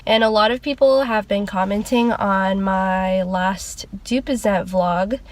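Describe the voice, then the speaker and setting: A woman's voice: medium pitch, measured pace. A young woman vlogger with a congested, nasal voice from a cold, chatting casually at a loose, rambling pace with lots of fillers. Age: young adult. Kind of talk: casual sit-down chat.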